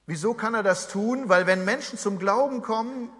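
Earnest German pastor in a reverberant hall. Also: male voice, high-pitched, normal speed, a bit bright. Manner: earnest, conversational preaching with asides to the congregation. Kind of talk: sermon.

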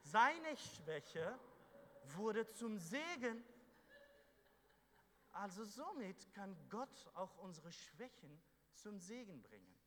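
A man preaching a sermon to a congregation, fervent, moving between loud exhortation and quiet, earnest passages. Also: high voice, sing-song, slow pace. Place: church hall. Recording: echoey hall with faint hum.

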